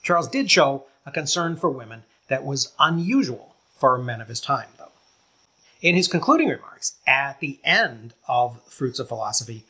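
A man reading his own nonfiction audiobook as scripted narration, in a measured, explanatory manner. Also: on the high side, pushed and bright.

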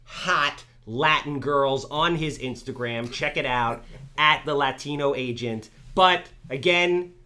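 A man's voice: medium pitch, slow pace, sharp tone. A man in his thirties hosting a casual podcast, joking and hyping up his guest. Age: 30s. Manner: joking, hyped intro reading. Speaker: podcast host.

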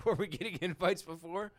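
A man's voice: sits high, moderate pace, fairly bright tone. A man co-hosting a podcast and running its recording, joking, laughing often and swearing in casual chat. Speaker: podcast co-host who runs the recording.

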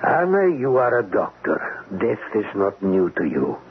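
An actor playing a kindly, knowing older uncle in a radio drama, measured and gently teasing. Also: male voice, lowish voice, very animated. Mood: wise, teasing. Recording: tinny, noisy old radio recording.

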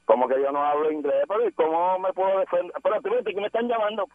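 Caribbean spanish guy calling into radio, kinda high voice, rambly n pushy, tinny phone sound. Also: fast, dry recording. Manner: insistent, rambling, emphatic. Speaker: radio call-in listener. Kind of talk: casual phone-in banter. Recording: tinny, narrow-band phone line.

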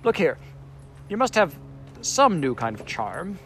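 Grumpy little sand creature done by a man, sharp and cross, voice bouncing all over. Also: fairly high pitch, very animated, a little slow, faint music behind, pitched above the performer's own voice, heavily acted. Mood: anxious, coaxing. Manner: cross, snappish, self-important. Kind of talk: audiobook character voice.

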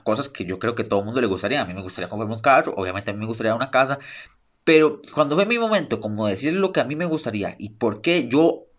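A man's voice: mid-range voice, fairly bright tone. A young man hosting a solo sports podcast, speaking eagerly and repeating phrases for emphasis. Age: young adult. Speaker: podcast host.

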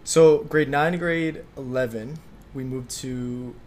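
A young man, talking as a guest in a casual interview in a relaxed, easygoing way with frequent "like"s. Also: medium pitch, takes it slowly. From Slovakia. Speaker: guest, clothing designer.

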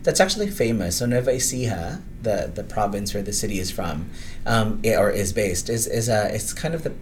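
A middle-aged man talks as a guest in an interview. His voice sits low, and his speech is thoughtful and full of restarts and "right?" tags. A faint rumble hums behind him. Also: lively intonation, fairly quick. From Philippines. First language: Tagalog. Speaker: guest, professor. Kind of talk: conversational interview.

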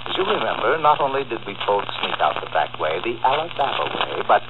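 An actor in an old-time radio mystery playing a smooth, coldly menacing murderous cousin with a mock-polite, sardonic manner. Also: male voice, medium pitch, sing-song, fast, natural voice. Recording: scratchy, narrow-band old radio recording.

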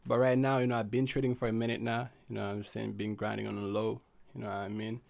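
A man's voice: on the low side, little pitch movement, fast talker, gravelly, a little mellow. A young man from Haiti, a guest chatting casually in a laid-back, slangy, rambling way full of fillers. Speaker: podcast guest. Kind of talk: casual chat.

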